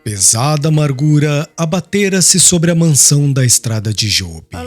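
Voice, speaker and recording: A man's voice: a little high, sing-song, moderate pace. A man narrating an audiobook as scripted drama, in a solemn, literary and mournful manner. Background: music.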